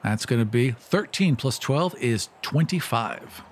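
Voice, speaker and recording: Male tabletop player, medium pitch, casual explanatory chat, slightly wry. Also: animated, unhurried, slight background noise.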